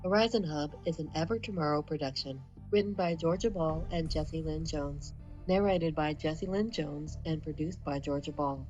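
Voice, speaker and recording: A woman's voice: low voice, moderate pace, darkish tone, faint music behind. A woman reading scripted end credits as a podcast narrator, in a lo-fi recording.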